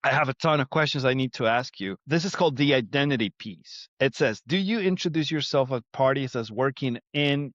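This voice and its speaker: Male host, friendly interviewer, average pitch, somewhat lo-fi dry recording. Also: lively intonation, fairly quick, few pauses, fairly bright tone, no room sound. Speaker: podcast host. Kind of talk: casual interview chat. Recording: slightly dull, dry recording.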